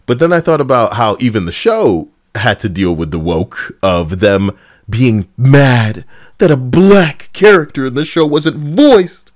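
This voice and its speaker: A man's voice: medium pitch, expressive, a little slow, no echo, studio quality. A man giving casual, blunt and sarcastic opinion commentary as a gaming video host, with an edgy streak. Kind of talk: casual opinion commentary over game footage.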